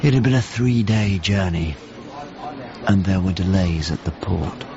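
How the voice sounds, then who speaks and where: Anxious earnest painter, clipped storytelling, radio drama, muffled recording, noisy background. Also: male voice, deep voice, measured pace. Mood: sombre, reflective. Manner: clipped, fragmentary descriptive narration. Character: earnest, anxious male painter recounting a search for his friend. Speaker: actor, narrator and lead of a radio drama. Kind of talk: radio drama narration and dialogue.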